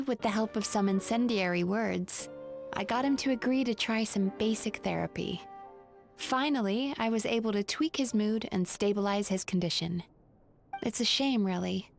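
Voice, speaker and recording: A woman playing a calm analytical therapist. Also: medium pitch, close to an everyday voice. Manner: composed, analytical, quietly confident. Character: calm young woman running a dream therapy bathhouse. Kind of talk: game voice acting. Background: soft game music.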